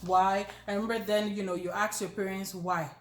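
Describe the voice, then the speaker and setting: A woman with a Nigerian accent, co-hosting a casual chat, joking and animated with many fillers. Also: mid-range voice, moderate pace. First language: not Yoruba. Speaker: co-host. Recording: echoey room.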